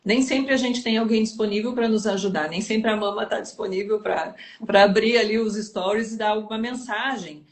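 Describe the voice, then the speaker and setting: Mulher gaúcha explicando com calma. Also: female voice, mid-range voice, normal speed. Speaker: guest speaker. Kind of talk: explanatory conversation. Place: home kitchen livestream. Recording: roomy echo.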